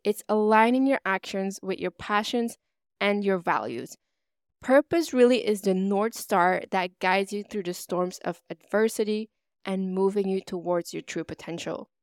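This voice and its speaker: A woman's voice: medium pitch, unhurried, clear, resonant tone, no room sound, clean studio sound. A woman hosting her own podcast in an upbeat, motivational, chatty way, with a stuffy, slightly nasal voice from a cold. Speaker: podcast host. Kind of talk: solo podcast monologue.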